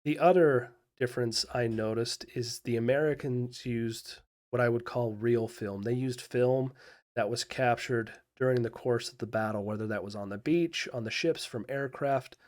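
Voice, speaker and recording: Man, history video host, mid-pitched voice, unscripted explanatory commentary, measured and halting, pausing mid-thought. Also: a little mellow.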